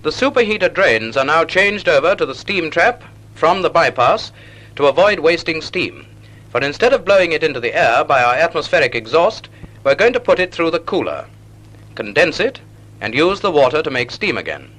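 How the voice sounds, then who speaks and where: A British man narrates an old naval training film from a script. His voice is bright and sits a little high, with animated, swooping intonation. He phrases everything in a measured, didactic way, as an instructor would. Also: poor audio. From United Kingdom.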